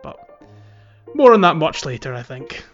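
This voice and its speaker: A man with a fairly high voice, chatting casually as a gaming podcast co-host. The recording is a little dull and lo-fi, with faint music underneath. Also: very animated, normal speed, fairly bright tone. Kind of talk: casual video game playthrough chat. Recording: slightly dull sound, background music.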